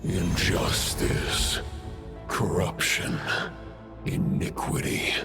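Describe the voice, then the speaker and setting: An actor voicing an ancient, wrathful male spirit in a video game, grim, imperious and solemnly menacing, with a gravelly voice. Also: deep voice, level tone, music in the background. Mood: menacing contempt. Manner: slow, menacing, archaic and solemn.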